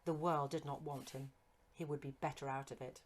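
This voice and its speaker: A woman reading a Victorian horror story as scripted narration, in an expressive, literary storytelling manner. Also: sits low, brisk. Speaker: narrator, audiobook reader.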